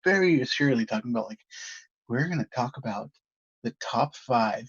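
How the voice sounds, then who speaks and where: A man's voice: medium pitch, expressive, normal speed, slightly rough, no echo, highs cut off. A man hosting a podcast in casual, chatty conversation. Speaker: podcast host. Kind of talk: casual chat.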